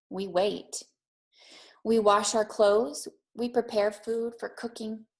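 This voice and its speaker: Female teacher for a kids' read-aloud and lesson, simple, encouraging, child-directed delivery. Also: mid-range voice. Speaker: teacher reading aloud and setting a challenge for young children.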